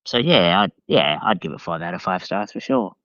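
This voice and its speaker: A man chatting casually as a podcast guest, giving opinions in a halting way and repeating phrases. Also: low voice, animated, a bit bright, no echo. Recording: dull, lo-fi voice track.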